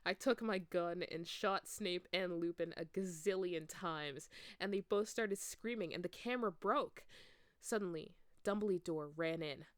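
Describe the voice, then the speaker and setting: Low-pitched woman reading fan fiction. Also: measured pace, no room sound, studio quality. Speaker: narrator. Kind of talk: amateur fan fiction read-aloud.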